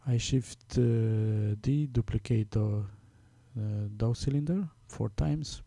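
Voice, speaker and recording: A man with a Romanian accent, Romanian his first language, talking through a software tutorial step by step, halting with many fillers as he works. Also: medium pitch, vocal fry, dry recording. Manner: halting, many fillers, thinking aloud while working. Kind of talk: screen-recorded software tutorial.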